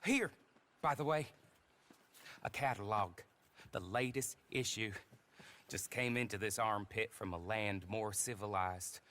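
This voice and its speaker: A genteel frontier stagecoach agent, lays on formal, mannered courtesy with a wry edge. His voice sits a little low for a man. It is a video game cutscene role. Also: measured pace, long pauses, sharp tone. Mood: wry courteous.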